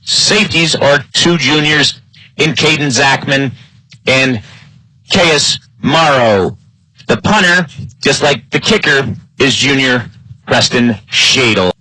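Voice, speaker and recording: A man's voice: lively intonation, slow, pushed and bright, dry recording, rough recording. An American man working as a play-by-play announcer, delivering live American football commentary. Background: live high school football game broadcast.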